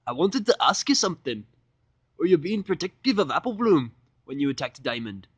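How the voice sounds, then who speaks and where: Man putting on a high-voiced, tentative young filly. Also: big pitch swings, a little fast, husky, no room sound, highs cut off. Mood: curious. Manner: tentative, choosing words carefully. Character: young filly pony, hesitant, earnest and sweet.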